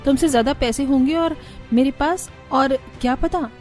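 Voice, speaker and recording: A woman plays a young village daughter-in-law with a high voice, hardworking and feisty. It is cartoon dialogue with music underneath. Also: moderate pace, darkish tone, natural voice. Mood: defiant.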